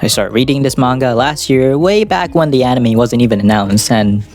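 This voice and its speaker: Lower-pitched male anime commentator. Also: lively intonation, normal speed, darkish tone. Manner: rambling, self-deprecating, crude joking. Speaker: anime video essayist. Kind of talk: casual, semi-scripted commentary. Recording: music behind the voice. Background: background music.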